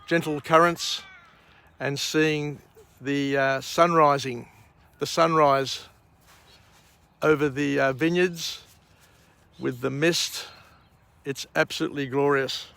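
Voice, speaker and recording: A middle-aged Australian man with a croaky, gravelly voice, talking slowly and evenly at a fairly high pitch. He rambles with many pauses and fillers. He records outdoors on a handheld camera at sunrise. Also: steady tone, a bit bright, no echo. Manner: slow, rambling, frequent uh and um. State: croaky voice after a night of drinking. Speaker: vlog host. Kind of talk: outdoor morning vlog and book summary.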